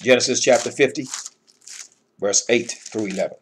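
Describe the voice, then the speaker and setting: A middle-aged man with a husky voice giving an informal scripture lesson in an emphatic, admonishing manner. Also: medium pitch, normal speed, a little hiss or hum. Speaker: Bible teacher.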